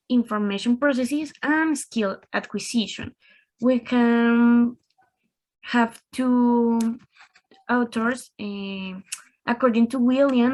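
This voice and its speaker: Young woman reading slides slowly. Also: fairly high pitch, rough recording. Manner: reads slides slowly with pauses. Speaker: student presenter. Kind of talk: class presentation over video call.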